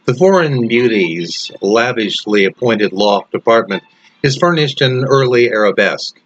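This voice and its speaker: A man reading a story as its author, in dramatic audiobook narration with deliberate phrasing and pauses. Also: medium pitch, lively intonation, a bit bright. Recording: dull, lo-fi narration track. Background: music.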